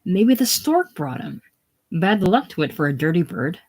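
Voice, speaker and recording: A reader voicing a bold, quick-tempered young farm woman, loud and fierce. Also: medium pitch, big pitch swings, breathy edge. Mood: scornful.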